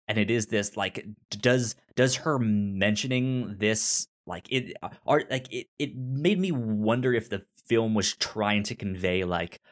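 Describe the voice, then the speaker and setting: A man discussing a film casually as a co-host, halting and repeating words as he thinks aloud. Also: lowish voice, a bit bright. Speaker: movie podcast co-host.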